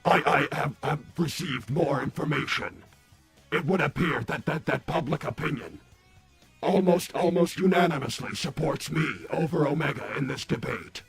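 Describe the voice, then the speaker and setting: A man voicing an earnest, polite robot on a comedic livestream, speaking formally and haltingly with repeated words. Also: fairly high pitch, creaky, husky, soft background music, full character voice.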